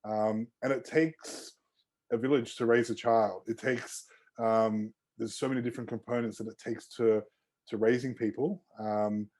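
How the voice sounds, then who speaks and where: A man with a mellow, relaxed tone and a mid-range pitch, speaking as an interview guest. He rambles in a friendly way, circling back on himself with plenty of fillers and restarts. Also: moderate pace. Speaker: osteopath guest. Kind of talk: casual interview chat.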